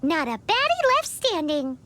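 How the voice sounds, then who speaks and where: Tiny, squeaky, cheeky fairy. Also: female voice, wildly swooping pitch, measured pace, pushed and bright, heavily acted. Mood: gleeful. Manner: squeaky, chirpy. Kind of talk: video game voice acting. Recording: prominent music behind voice.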